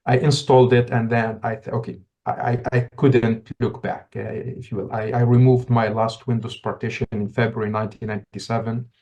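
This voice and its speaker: A man's voice: medium pitch, flat delivery, fairly quick, gravelly, hardly any pauses, warm tone, highs cut off. Reflective man from Tunisia. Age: middle-aged. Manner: reflective, many restarts and repeated words. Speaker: podcast guest. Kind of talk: casual interview talk.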